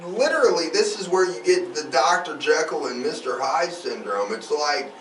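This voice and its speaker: A man's voice: fairly high pitch, lively intonation, moderate pace, a bit bright. A man hosting a true crime podcast, telling the story in a casual, emphatic and profane conversational way. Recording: roomy, distant mic, faint noise.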